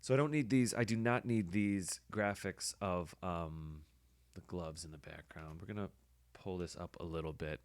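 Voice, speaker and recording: A man with a hoarse voice, casually talking through his editing work as a podcast host, thinking aloud with fillers. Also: sits low, moderate pace, no room sound. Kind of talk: casual screen-recording commentary.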